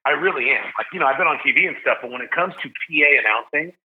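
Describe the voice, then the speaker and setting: A middle-aged man, a sports announcer, telling stories as a podcast guest in an energetic, chatty way, often saying "dude" and "like". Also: on the high side, rapid-fire. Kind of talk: casual storytelling interview. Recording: narrow phone-like sound.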